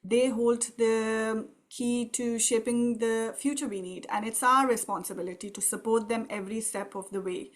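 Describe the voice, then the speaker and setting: A woman's voice: a little high, steady tone, normal speed, few pauses. A woman moderating an online panel, giving a prepared speech in an earnest, formal way. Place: indoor room, video call. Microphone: video call microphone.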